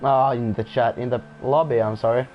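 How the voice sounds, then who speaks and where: A man's voice: medium pitch, steady tone, a little fast, highs cut off, a little hiss or hum. A man who is not a native English speaker commentating casually on an online race, often restarting sentences and apologizing. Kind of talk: casual live sim-racing commentary.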